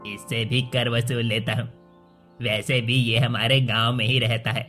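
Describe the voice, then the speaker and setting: A man's voice: medium pitch, little pitch movement, a little slow, close to an everyday voice. A man playing a greedy, scheming royal minister in a cartoon story, conniving beneath servile manners. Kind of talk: Hindi cartoon story acting. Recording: music under the voice.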